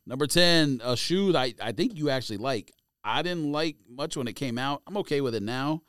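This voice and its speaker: A man's voice: medium pitch, expressive, a little fast, a bit bright, clean tone, dry recording, studio quality. A man co-hosting a podcast with casual banter, blunt and teasing in how he talks. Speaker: podcast co-host. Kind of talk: casual sports and sneaker banter.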